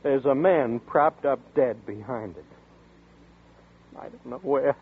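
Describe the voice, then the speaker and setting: A man's voice: mid-range voice, slow, husky, narrow, tinny audio. An actor playing a frightened young man who narrates and stammers nervously, in 1940s radio style. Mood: desperate. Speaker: narrator and lead. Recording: scratchy 1940s radio broadcast.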